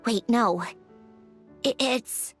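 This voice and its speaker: Sardonic robot puppet scientist for a game, high voice, takes her time, music behind. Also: extremely slow. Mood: astonished, moved. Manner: analytical, dry, clipped self-talk. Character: sardonic mechanical puppet woman, brilliant weary researcher. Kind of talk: video game voice acting.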